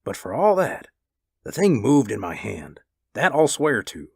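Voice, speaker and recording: A man voicing a gentle, earnest, slow-witted elderly husband in a story. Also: medium pitch, sing-song, gravelly, husky, no echo, clean studio sound, voice pushed up, full character voice. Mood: insistent. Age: older.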